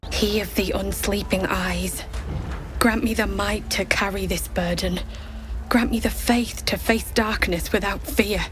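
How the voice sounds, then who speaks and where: A woman's voice: mid-range voice, steady tone, a little slow, fairly bright tone, soft background music, natural voice. An actress playing a grieving, devout woman soldier in a fantasy video game, solemn and weary. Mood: solemn prayer.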